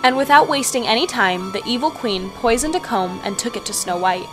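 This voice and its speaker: A woman's voice: a little high, expressive, brisk, loud music behind the voice. A woman storyteller reading scripted fairy tale narration for children.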